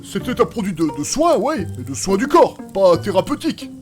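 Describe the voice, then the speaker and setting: An actor playing an eccentric, touchy, pedantic chemist in a comedic audio drama, with blunt, slangy delivery. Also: male voice, sits high, sing-song, fairly quick. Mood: defensive. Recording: music behind the voice.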